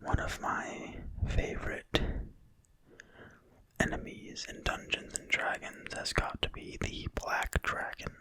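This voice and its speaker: An ASMR reader speaks entirely in a breathy whisper, quiet and crisp on the consonants. The delivery is slow and deliberate, like someone softly reading aloud from a fantasy game book. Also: low-quality recording. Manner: slow, soft, unhurried, deliberate. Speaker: ASMR video creator and reader.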